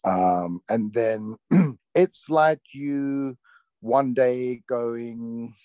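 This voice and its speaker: A man in his forties with a London accent who grew up speaking Thai, talking about his career in a relaxed, rambling way as an interview guest. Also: medium pitch, very slow, no room sound. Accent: London English. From London, England. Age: 40s. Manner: easygoing, rambling, full of "you know". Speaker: actor being interviewed. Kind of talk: casual interview talk.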